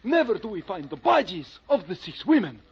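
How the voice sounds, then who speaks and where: Excitable, husky Bulgarian official, old radio. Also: male voice, high voice, fairly quick, exaggerated voice. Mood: aggrieved, agitated. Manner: melodramatic exclamations in mangled English. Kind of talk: 1940s radio acting. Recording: muffled old radio recording.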